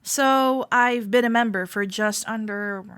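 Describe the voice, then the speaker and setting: Woman acting friendly club member, higher-pitched, slow-paced, gentle, softly teasing, reassuring, roleplay audio for the listener. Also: clean tone, no room sound. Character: friendly, teasing female cuddle club member giving a tour.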